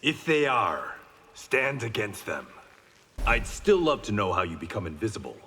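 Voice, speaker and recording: An actor playing a stern, honorable reformed-gangster swordsman in a video game, speaking in a measured, terse, resolute way. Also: fairly low pitch, big pitch swings, sharp tone, heavily acted. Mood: earnest. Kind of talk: video game fight banter. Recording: reverberant hall, faint background noise.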